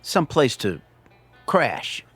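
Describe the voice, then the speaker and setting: A man's voice: mid-range voice, very animated, very slow, a bit bright, slightly rough, faint music behind, heavily acted. An actor playing a firm, composed young biker leader in a radio drama, brief and decisive in his speech. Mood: cool, evasive.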